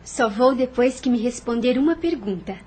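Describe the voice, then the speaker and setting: Sweet earnest young daughter, high husky voice, pleading. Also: female voice, lively intonation, normal speed, a little mellow. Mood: curious. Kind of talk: audio drama acting.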